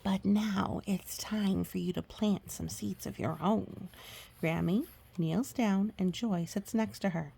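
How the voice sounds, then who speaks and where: A woman with a husky, breathy voice reading a picture book aloud to young children, with chatty, gently explaining asides and murmured agreement. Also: mid-range voice, slow pace, a little hiss or hum.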